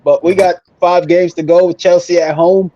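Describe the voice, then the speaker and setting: A man's voice: high-pitched, level tone, moderate pace, warm tone, dry recording, muffled recording. A man talking casually and emphatically as an opinionated football fan on a panel show, repeating himself for emphasis. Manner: emphatic, repetitive, conversational.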